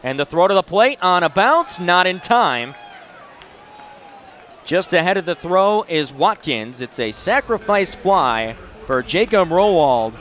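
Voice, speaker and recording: A man's voice: high voice, very animated, a little slow, a bit bright. A man in his twenties doing live play-by-play sports commentary in short, punchy announcer phrases. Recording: noisy live venue sound.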